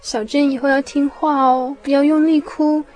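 A woman playing a caring, easily worried young mother of small children in a radio drama, gentle and motherly. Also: high-pitched, level tone, normal speed, natural voice. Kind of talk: radio drama acting with narration. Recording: music behind the voice.

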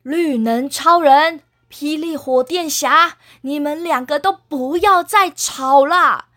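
Lady doing a grandma earth spirit from a kids story, high bright voice, scolding and begging them to stop fighting. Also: slow pace, exaggerated voice. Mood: pleading. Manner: scolding, pleading with two squabbling heroes.

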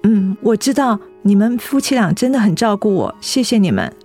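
A woman's voice: medium pitch, big pitch swings, normal speed, soft background music. An actress playing a young, ailing office woman who hides her troubles, speaking hesitant, apologetic Taiwanese Mandarin in a radio drama. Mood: apologetic, subdued. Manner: hesitant, apologetic, softly evasive.

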